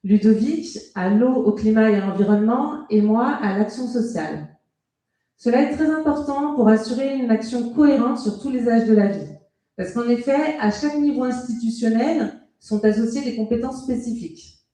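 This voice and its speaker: A woman speaks as a local councillor at a public meeting. Her voice sits a little high but stays dark and gentle in tone. She delivers prepared remarks in a formal, measured way, and the room around her is strongly echoing. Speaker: local councillor giving a campaign speech. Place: indoor hall at a public meeting.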